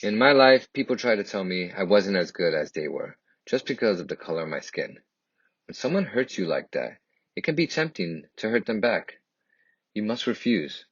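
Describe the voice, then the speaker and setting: Deep husky man reading a story aloud. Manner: emphatic, dramatic phrasing. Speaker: children's picture book reader. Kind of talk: expressive read-aloud storytelling. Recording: dull, lo-fi, echoey room.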